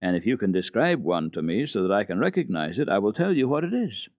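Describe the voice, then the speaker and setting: A man playing a wise, gruff old mountain grandfather who explains things in a measured, firm way, from an old radio recording. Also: on the low side, sing-song, no echo, phone-quality sound. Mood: gentle explaining.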